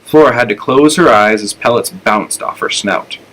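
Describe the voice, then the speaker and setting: Lowish-voiced man reading a story. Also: lively intonation, moderate pace, fairly bright tone, poor audio. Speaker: elementary school storyteller. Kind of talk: expressive children's audiobook-style narration.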